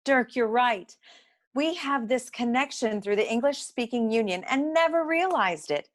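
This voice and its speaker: A woman's voice: fairly high pitch, lively intonation, normal speed, lightly acted. An actress playing a poised, witty woman who works beside a noir detective, speaking in an arch, formal, articulate way in a comic radio play. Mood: arch, warm.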